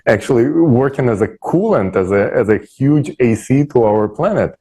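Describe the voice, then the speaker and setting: A man speaking persuasively as an online panelist, halting now and then as he searches for words. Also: medium pitch, expressive, warm tone, breathy edge. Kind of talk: persuasive discussion talk.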